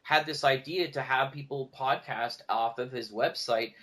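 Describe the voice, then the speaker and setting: Male podcast guest, medium pitch, conversational, animated storyteller who acts out quoted lines. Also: moderate pace. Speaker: podcast guest, audio drama producer and show host. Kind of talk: casual conversational interview.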